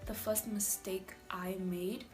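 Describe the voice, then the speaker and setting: A South African woman in her twenties, a native Afrikaans speaker, telling her student story in a chatty, animated way with breathy asides. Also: medium pitch, takes it slowly, soft background music. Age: 20s. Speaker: vlogger, university student. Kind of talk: candid personal storytelling vlog.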